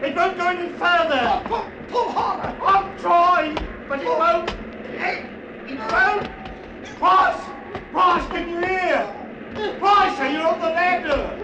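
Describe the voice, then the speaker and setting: A man's voice: extremely high pitch, slow pace, long pauses, dull audio, heavy background noise. An actor playing a terrified man pleading hysterically for his life underground in a 1940s radio drama. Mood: hysterical panic. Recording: echoey, distant, loud music and din.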